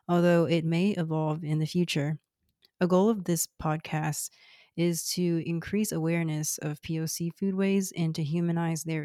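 A woman in her thirties, whose first language is Cantonese, reads a scripted podcast introduction in a measured, earnest way. Her voice sits low for a woman. The recording is dry and close, with no room sound. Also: warm tone, dry recording. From Houston, Texas, US. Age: 30s. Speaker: podcast host.

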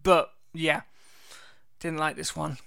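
Wisecracking man, smooth bright voice. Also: on the high side, level tone, takes it slowly. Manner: jokey, wisecracking. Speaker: podcast co-host. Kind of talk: casual chat.

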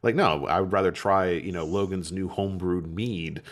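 A man's voice: low voice, moderate pace. A man hosting a podcast in casual chat, telling stories animatedly and laughing often. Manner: laughs often, animated storytelling with playful put-on voices. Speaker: podcast host.

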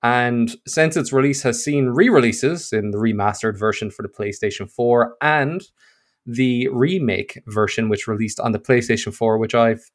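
A man's voice: mid-range voice, normal speed. A man hosting a casual gaming podcast, upbeat and teasing with his co-host.

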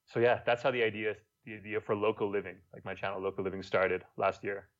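A man's voice: on the low side, fairly even intonation, fast, highs cut off. A young man from Montreal with a French Quebec accent, casually telling stories as a guest and swearing now and then. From Montreal, Canada. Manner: laid-back storytelling, swears casually. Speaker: guest, YouTuber. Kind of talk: casual podcast chat.

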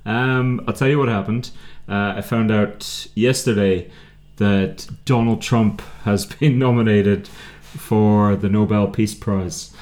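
A man's voice: fairly low pitch, fairly even intonation, slow, hardly any pauses, a little mellow. A man hosting a podcast in casual banter, with a wry, teasing manner and a habit of interjecting. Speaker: podcast host.

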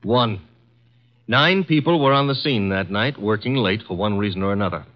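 Man playing a brash sarcastic assistant, lively inflection, breezy, muffled vintage radio. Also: lowish voice, lightly acted. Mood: wry. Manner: breezy, sarcastic, quick with a comeback. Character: wisecracking young male assistant, brash and cheeky. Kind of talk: 1950s radio drama acting. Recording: dull lo-fi 1950s radio broadcast.

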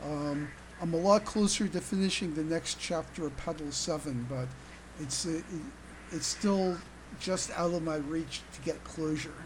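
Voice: mid-range voice, normal speed, low-quality recording. Hesitant podcast host, faint background noise. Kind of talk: casual, unscripted discussion.

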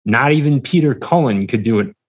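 A man's voice: medium pitch, animated, brisk. A man chatting casually as a podcast guest, hesitant and rambling, often repeating himself, on a podcast microphone. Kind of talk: casual interview chat. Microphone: standard podcast microphone.

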